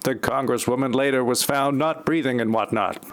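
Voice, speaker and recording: A man's voice: mid-range voice, moderate pace, very noisy. A man reading scripted narration for an amateur parody audio play.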